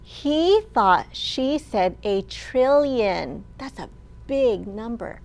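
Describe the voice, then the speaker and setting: A woman librarian hosting a children's storytime, reading aloud in simple, deliberate phrases meant for young children. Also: on the high side, expressive, darkish tone.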